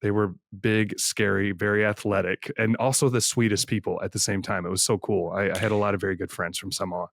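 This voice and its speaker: A man's voice: on the low side, steady tone, fairly quick, vocal fry, few pauses, a bit bright, dry recording, studio quality. A man from the Netherlands hosting a podcast interview, chatty, enthusiastic and self-deprecating. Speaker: podcast host. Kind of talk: casual interview chat.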